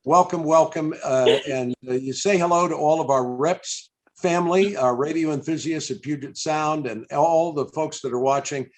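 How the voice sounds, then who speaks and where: A middle-aged American man hosting an online event in a casual, chatty, halting way on a video call. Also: a little high, rough recording. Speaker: event host and interviewer.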